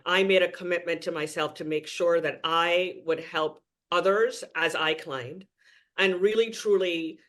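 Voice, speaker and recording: A man's voice: sits high, fairly bright tone. A man from Trinidad and Tobago, a guest speaking earnestly and with emphasis in a podcast interview.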